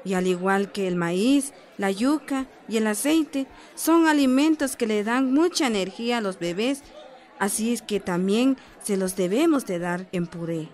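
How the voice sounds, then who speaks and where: An actress playing a friendly, assured village health adviser in a radio soap, explaining patiently with a Guatemalan accent. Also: female voice, mid-range voice, expressive, measured pace, a little mellow, some chatter behind, natural voice. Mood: explaining, encouraging. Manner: instructive, patient, encouraging. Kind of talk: radio soap opera acting.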